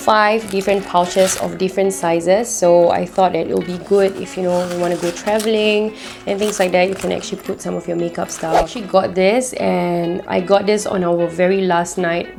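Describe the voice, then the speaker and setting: A young woman from Singapore chats through her shopping haul in a husky, slightly nasal voice, still recovering from being sick. Her tone is gravelly with vocal fry and mid in pitch. She gets excited and her voice jumps up when she gushes, and faint music plays under her. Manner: chatty, excitable, rises in pitch when gushing. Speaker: vlogger. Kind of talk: casual haul vlog.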